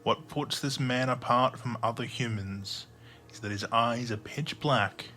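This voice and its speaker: A man narrating a scripted audio drama in the second person, in a measured storytelling manner. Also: mid-range voice, pushed and bright, faint music behind.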